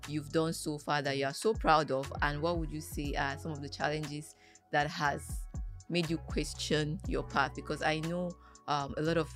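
Low-voiced Nigerian woman interviewing. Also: level tone, normal speed, a bit bright, faint music behind. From Anambra State, southeastern Nigeria. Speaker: radio interviewer. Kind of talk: conversational interviewing.

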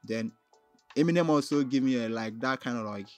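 A man with a Nigerian accent shaped by his native Igbo talks casually as a guest in an interview. He feels his way through answers haltingly, leaning on filler phrases. Faint music sits behind his voice. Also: medium pitch. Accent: Nigerian, Igbo-influenced. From Onitsha, southeastern Nigeria. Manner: halting, frequently repeats "like" and "you know" while thinking aloud.

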